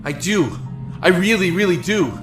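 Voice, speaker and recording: Man acting lovesick young prisoner, high swinging voice. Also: expressive, normal speed. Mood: earnest, wistful. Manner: earnest, pleading, stammering. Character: lovesick young male prisoner, stubborn, playful and desperate. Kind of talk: audio drama acting with reflective narration. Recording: roomy echo with music underneath.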